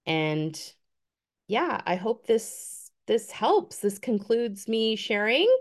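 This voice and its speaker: A woman's voice: fairly high pitch, very animated, takes it slowly. A woman in her fifties giving an earnest, encouraging motivational talk on a group call. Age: 50s. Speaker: guest speaker.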